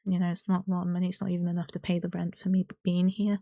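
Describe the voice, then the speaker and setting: A woman's voice: fairly low pitch, level tone, rapid-fire, warm tone, no room sound, clean studio sound. A woman giving an unscripted personal update to her followers in a candid, rambling, hesitant way. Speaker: streamer and video creator.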